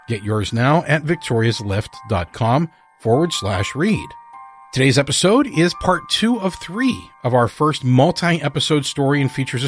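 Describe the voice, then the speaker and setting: A man with a mid-pitched voice hosts a fiction podcast. He reads a scripted introduction with faint music behind him. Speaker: podcast host and writer.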